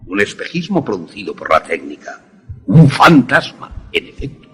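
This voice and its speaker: Male actor as older professor-inventor, lowish swooping voice, formal, eloquent, theatrical, suspense radio drama. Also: very animated, moderate pace, soft background music. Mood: courteous, explanatory. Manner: formal, theatrical, hesitant repetitions. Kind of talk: Spanish-language suspense radio drama acting.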